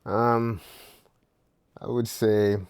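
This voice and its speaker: A Jamaican man talking casually as a podcast guest, relaxed and hesitant, with many fillers. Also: lowish voice, drawn-out words, clean tone. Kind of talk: casual conversation.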